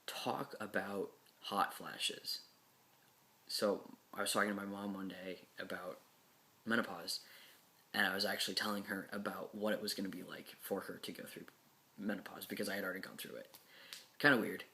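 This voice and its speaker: A young man talking frankly and casually to camera, with many pauses and repeated words. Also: deep voice, flat delivery, gravelly, sharp tone. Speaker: speaker answering class questions.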